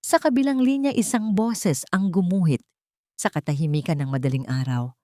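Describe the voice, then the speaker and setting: A Filipino woman narrating a scripted drama as a storyteller, with a measured, dramatic delivery. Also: on the low side, mellow, dry recording, clean studio sound.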